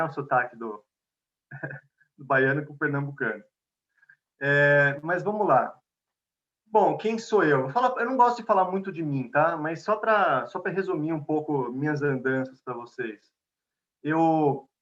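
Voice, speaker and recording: A man with a São Paulo accent speaks over a video call, his voice sitting a little high for a man. He talks in a friendly, unhurried way, often tagging his sentences with little checks to his listeners. The sound is somewhat dull and lo-fi. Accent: São Paulo Portuguese. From Bahia, Brazil. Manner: warm, unhurried, many "né" and "tá" tags. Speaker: invited speaker, conflict mediator. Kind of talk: online lecture.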